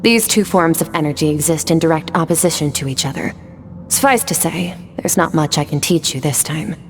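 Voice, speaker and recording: Low-voiced, aloof warrior mentor. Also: female voice, in a large hall, slight background noise. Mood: calm, instructive. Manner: measured, matter-of-fact, detached. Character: cool, aloof young woman warrior mentor. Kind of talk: video game voice acting.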